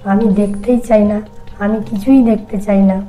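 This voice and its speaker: A woman's voice: medium pitch, fairly even intonation, normal speed, warm tone, close to an everyday voice, rough recording. Woman as a scared timid village kid in a horror cartoon, pleading, bit of music behind. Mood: terrified, pleading. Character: timid, frightened village boy pleading with his friend. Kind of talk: Bengali animated horror story dialogue.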